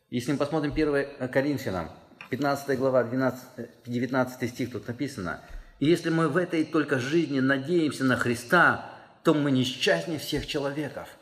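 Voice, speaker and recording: Earnest male pastor in a reverberant hall. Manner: earnest, emphatic, rises in pitch and force when stressing a point. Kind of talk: sermon.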